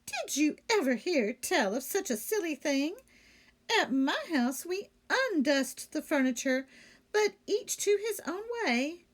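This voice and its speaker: A woman's voice: high voice, very animated, measured pace, voice pushed up. Cheerful, lilting storybook housemaid voiced by a woman. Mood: puzzled, agreeable. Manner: folksy, exclaiming, musing to herself. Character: cheerful, earnest, literal-minded housemaid. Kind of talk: children's storybook read-aloud character voice.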